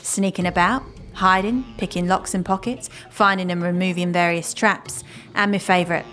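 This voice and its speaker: A cocky woman thief, played by an actress, slangy and wry like a brisk mentor from the streets. It is fantasy audio drama, with faint music underneath. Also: on the low side, steady tone, a bit bright, natural voice. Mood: brisk instruction.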